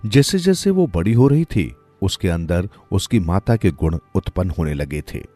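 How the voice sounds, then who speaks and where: A man's voice: low voice, lively intonation, moderate pace, mellow, soft background music. A man narrating a moral folk tale from a script, as a storyteller.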